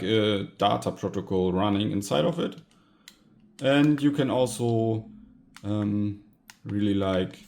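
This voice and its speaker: A man casually narrating a hands-on gadget teardown as a video host, informal and halting, often pausing mid-sentence. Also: lowish voice, vocal fry, a little mellow, slight background noise. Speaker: tech hobbyist video host.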